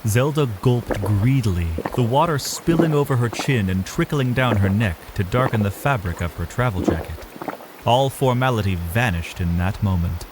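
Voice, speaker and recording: A man's voice: on the low side, expressive, moderate pace, noisy background. A man giving dramatic, scripted narration for a fantasy audio drama as its storyteller. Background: music.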